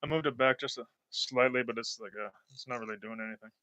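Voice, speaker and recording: A man's voice: medium pitch, quick pace, sharp tone. A man hosting a casual home podcast, rambling, swearing often and trailing off mid-sentence. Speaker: podcast host. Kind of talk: casual chat. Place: indoors at his home.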